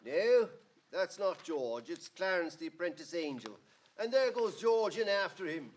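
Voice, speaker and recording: A man's voice: high-pitched, very animated, measured pace. A man announcing and narrating a 1940s radio drama in a formal, dramatic style. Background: music.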